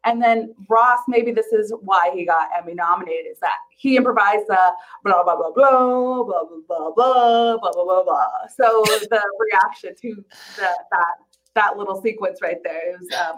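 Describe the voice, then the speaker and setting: A woman co-hosting a casual recap chat about a TV show, telling things in an animated, giggly way. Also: medium pitch, poor audio. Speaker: podcast co-host.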